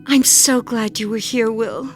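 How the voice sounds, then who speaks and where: A woman's voice: on the high side, steady tone, measured pace, faint music behind, natural voice. A woman acting as a stubborn, folksy farm wife, an injured creature in a suspense radio drama. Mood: relieved, tender. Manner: folksy, plainspoken, rustic phrasing. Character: stubborn, frightened, injured female alien farm wife with two heads.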